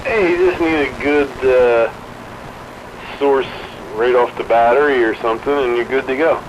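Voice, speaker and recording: A man's voice: medium pitch, normal speed. A man chatting casually over a two-way radio. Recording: muffled, noisy two-way radio audio.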